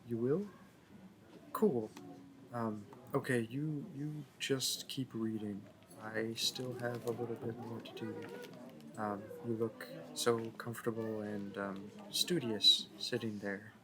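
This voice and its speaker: Guy playing a nervous shy library boy in an audio roleplay, slow halting talk, stammers a lot, murmuring crowd in the back. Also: on the low side, steady tone, rough recording. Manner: stammering, hesitant, self-correcting. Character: shy, smitten young male library worker, nervous and awkward. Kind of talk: intimate roleplay audio acting.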